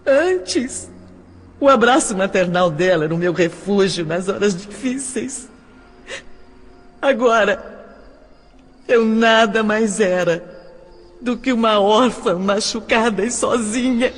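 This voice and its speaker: A woman playing a sorrowful adopted orphan recalling her childhood in an emotive, deliberate audio drama monologue. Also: mid-range voice, faint music behind, natural voice. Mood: wounded, sad. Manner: slow, emotive, deliberate delivery. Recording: long hall-like reverb.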